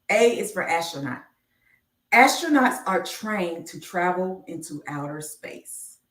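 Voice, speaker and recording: A woman reading a children's picture book aloud on a live stream, enunciating deliberately like a teacher. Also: mid-range voice. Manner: slow, deliberate enunciation with teacherly announcements of each letter. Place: indoor room, live stream. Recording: roomy, echoey, distant microphone.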